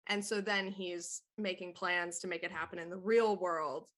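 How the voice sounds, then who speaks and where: A woman's voice: fairly low pitch, steady tone, moderate pace, a bit bright. A woman who works as a voice actor, talking casually in a panel chat.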